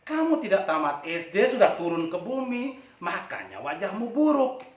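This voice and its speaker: Man as lecturing wind god, high and echoey. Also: huge pitch swings, moderate pace. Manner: lecturing, emphatic. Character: male wind god, authoritative, scolding yet helpful mentor. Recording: echoey room, distant from mic.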